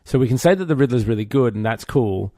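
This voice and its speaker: A man's voice: medium pitch, expressive, fast, warm tone, dry recording, studio quality. A man co-hosting a podcast, chatting informally in an opinionated, geeky, self-mocking way. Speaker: podcast co-host. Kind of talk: casual pop-culture chat.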